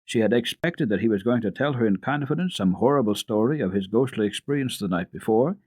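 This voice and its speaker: A man's voice: medium pitch, lively intonation, fairly quick, a little mellow, husky, dry recording. A man reading classic children's literature aloud as a radio storyteller, in dramatic scripted narration. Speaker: host and reader of a children's radio program.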